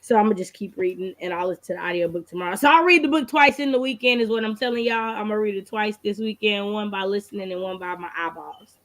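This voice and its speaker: A woman with an American accent hosting a book readalong stream in an animated, colloquial, emphatic way. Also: mid-range voice, quick pace, few pauses. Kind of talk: casual livestream chat.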